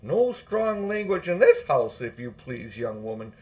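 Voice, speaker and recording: A man voicing a tough, prim old aunt in a storybook, scolding and brisk. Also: mid-range voice, sing-song, darkish tone. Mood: indignant.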